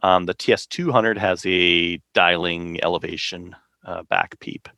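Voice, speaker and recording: A man's voice: low voice, fairly even intonation, measured pace, gravelly, bright, forceful tone, dry recording, studio quality. A man, co-hosting a podcast and talking casually and informally with slangy phrasing. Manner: informal, conversational, uses slang. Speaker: podcast co-host. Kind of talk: casual hobbyist chat.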